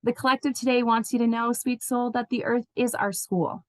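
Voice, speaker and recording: A woman's voice: a little high, steady tone, moderate pace, no room sound. Woman giving reassuring spiritual readings, dry close sound. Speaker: intuitive healer giving spiritual messages. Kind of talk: spiritual card reading addressed to named listeners.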